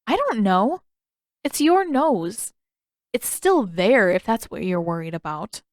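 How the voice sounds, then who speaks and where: A woman's voice: medium pitch, animated, slow pace, a little mellow, no room sound, studio quality. A woman voicing an eager, innocent young boy in an audiobook story, earnest and full of questions. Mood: puzzled.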